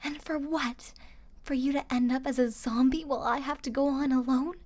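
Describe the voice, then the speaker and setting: A woman's voice: high voice, steady tone, normal speed, darkish tone. A woman playing a loyal, distraught young survivor of a zombie apocalypse in an ASMR roleplay, with an emotional, pleading delivery. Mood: pleading, upset.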